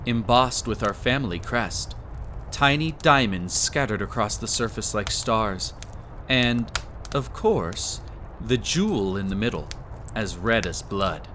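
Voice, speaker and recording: Man acting as slow-talking possessive wicked prince, noisy background. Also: medium pitch. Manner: slow, deliberate, coaxing, commanding, pompous. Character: possessive wicked young prince hypnotist, coaxing and menacing. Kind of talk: scripted roleplay audio, slow hypnotic monologue.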